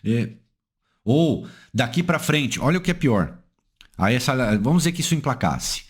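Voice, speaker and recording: Brazilian male legal analyst, animated intonation, rhetorical questions, emphatic lecturing delivery. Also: normal speed, husky. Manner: asks rhetorical questions and answers them; emphatic, swings pitch up and down for stress.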